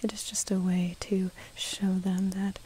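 Slow, whispering wood nymph, played by a woman. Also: mid-range voice, little pitch movement, close to an everyday voice. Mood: shy, mildly reproachful. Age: 20s. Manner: soft whispering, hesitant musing, close-up. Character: curious, gentle female wood nymph, ancient and kindly. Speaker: ASMR roleplayer. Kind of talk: whispered ASMR roleplay. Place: outdoors in woodland. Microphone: close to the microphone. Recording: close whispered recording with hiss.

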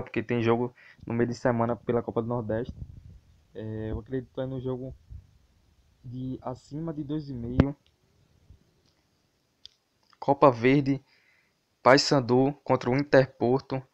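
A Brazilian man speaks in a mid-range voice with very little melody, keeping an even line as he goes. He sounds like an informal online host giving sports tips, addressing his viewers directly and casually. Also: mid-range voice, highs cut off. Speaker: host of a football betting tips channel. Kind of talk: casual sports tips commentary over a screen recording.

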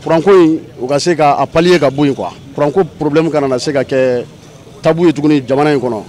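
A man in his thirties answering a street interview outdoors, measured and gesturing as he speaks into a handheld mic. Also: a little high, a little mellow. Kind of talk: vox pop answer. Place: outdoors by parked cars. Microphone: handheld mic held by interviewer.

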